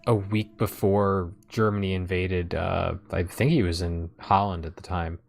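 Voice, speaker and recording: Low, gravelly man hosting gameplay. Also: steady tone, a little slow. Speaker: host playing the game while commenting. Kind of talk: casual gameplay chat.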